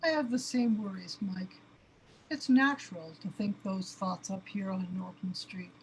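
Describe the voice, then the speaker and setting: An actress playing a soft, knowing, eerie confidante with a whispery voice in a sci-fi radio drama. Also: female voice, mid-range voice, unhurried, natural voice. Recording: noisy, ambient background.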